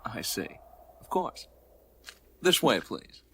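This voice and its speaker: A man's voice: medium pitch, very animated, slow pace, a bit bright, a little hiss or hum. A man playing a prim, evasive, spindly alien company director in a radio play, speaking in a formal, fussy, condescending way. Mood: fussy, explanatory. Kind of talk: radio play character acting.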